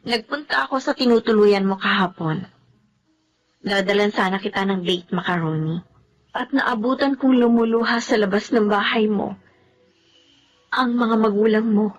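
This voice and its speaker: A woman's voice: medium pitch, moderate pace, long pauses, lightly acted, low-quality recording. A woman acting a principled, disappointed young woman, earnest and emotional, in a Filipino radio drama. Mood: sad, disappointed.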